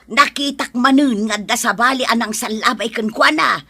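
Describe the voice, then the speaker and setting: Woman doing a nosy gossipy village lady in an ilocano audio drama, bright highish voice, really insistent. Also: normal speed, breathy edge, dry recording, exaggerated voice.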